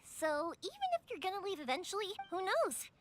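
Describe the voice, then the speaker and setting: A woman voicing a cheerful, chatty and kind young fireworks maker in an anime game, with a friendly, expressive delivery. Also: very high voice, a little fast, a loud crowd. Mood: gentle, encouraging. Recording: music behind the voice.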